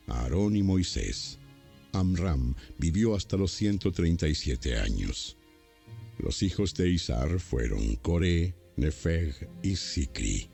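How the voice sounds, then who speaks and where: A man narrating a dramatized audio Bible from a script in a solemn, deliberate way. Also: low-pitched, vocal fry, dark tone.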